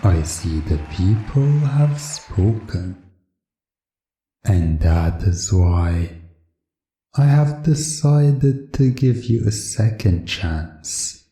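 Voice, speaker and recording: A man performs a menacing male game master in a hypnosis roleplay, deep and extremely slow. He taunts his captive with theatrical, drawn-out emphasis, and the sound is roomy and echoing. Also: lively intonation, dark tone. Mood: smug menace. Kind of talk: hypnosis audio roleplay villain.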